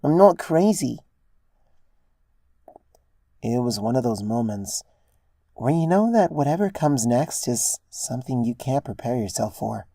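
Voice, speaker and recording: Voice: medium pitch, warm tone, no room sound, clean studio sound. A narrator reading a first-person story as scripted narration. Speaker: fan fiction narrator.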